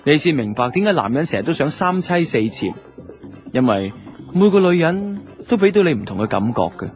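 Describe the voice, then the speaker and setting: A man's voice: fairly high pitch, sing-song, fairly quick, darkish tone, soft background music. A man with a Hong Kong Cantonese accent playing a guilty, self-justifying two-timing boyfriend who narrates a radio drama in colloquial first person. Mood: guilty, confessional. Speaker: lead actor and narrator.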